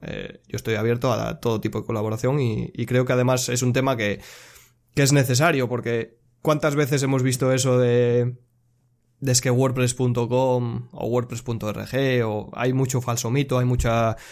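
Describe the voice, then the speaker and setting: A man's voice: medium pitch, normal speed. A man hosting a podcast, talking casually and hesitantly with frequent fillers. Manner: relaxed, hesitant with many fillers and restarts. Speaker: podcast host.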